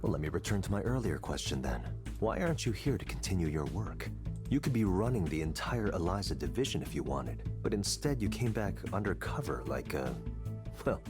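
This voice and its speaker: An actor playing a smooth, quietly condescending tech boss in a visual novel game, speaking in measured, pointed rhetorical questions. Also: male voice, low-pitched.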